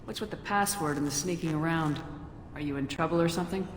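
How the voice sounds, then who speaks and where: A woman acts as a no-nonsense female space commander in a video game. Her voice is notably low and direct. The sound is reverberant, with some noise behind it. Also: fast talker, lightly acted. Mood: curt, inquiring. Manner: direct, businesslike. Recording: echoey, reverberant, clear background noise.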